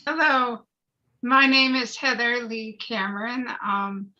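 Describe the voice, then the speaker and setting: A woman's voice: on the high side, slow pace, bright, forceful tone. A woman introducing herself casually as an actor, writer and filmmaker. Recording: dull, muffled video call audio.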